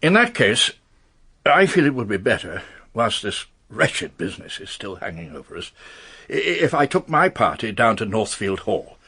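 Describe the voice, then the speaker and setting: Casting: nervous upper-class English knight, radio drama, husky mid-range voice, hesitant, lively intonation. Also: male voice, on the low side, moderate pace, heavily acted. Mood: stiffly polite. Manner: hesitant, stammering, polite. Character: anxious titled English gentleman confessing under questioning. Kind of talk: British radio detective drama acting.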